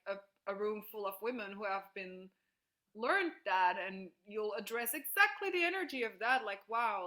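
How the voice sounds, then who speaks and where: Bright-voiced woman leading a video call. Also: mid-range voice. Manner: enthusiastic, frequent "like", laughs easily. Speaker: workshop facilitator. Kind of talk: casual group call coaching.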